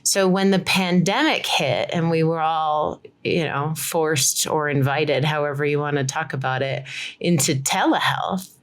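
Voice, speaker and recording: A woman's voice: sits low, measured pace. A woman from Colorado, a physical therapist, explaining her work enthusiastically in a conversational interview over a video call. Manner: enthusiastic, explanatory, uses "like" often.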